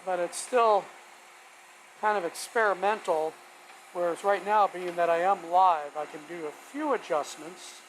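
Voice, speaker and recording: Slow, rambling workshop streamer of unclear sex. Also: mid-range voice, rough recording. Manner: rambling, folksy, thinking aloud. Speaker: live stream host, hobby woodworker. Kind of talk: casual live stream chat while working. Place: home woodworking workshop. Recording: steady vacuum noise behind voice. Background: shop vacuum running.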